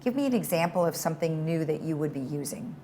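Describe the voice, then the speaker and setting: A woman's voice: sits low, animated, fast talker, mellow. A woman hosting an interview, encouraging her guest with frequent short affirmations. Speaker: interviewer host. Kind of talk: interview chat.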